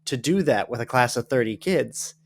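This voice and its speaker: Podcast host man, mid-pitched. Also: expressive, moderate pace, gravelly. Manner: reflective, builds points with "right?". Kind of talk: casual interview talk.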